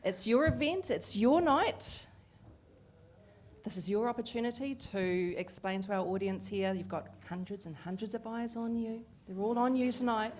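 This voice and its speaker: A woman voicing a Deaf host live at an evening event, lively and chatty with frequent fillers. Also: a little high, normal speed, slight background noise. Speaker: sign language interpreter voicing the Deaf host. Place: event venue with an audience.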